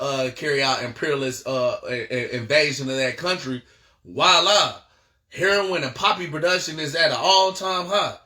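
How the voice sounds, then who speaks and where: An American man delivers a political lesson with a bright, forceful voice. He is emphatic and rallying, circling back over phrases and tripping on words as he goes. The sound is roomy, with clear echo around his voice. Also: mid-range voice. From United States. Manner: emphatic, repetitive, rallying, with frequent stumbles. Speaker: political education teacher. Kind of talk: impassioned political lecture with read-out passages.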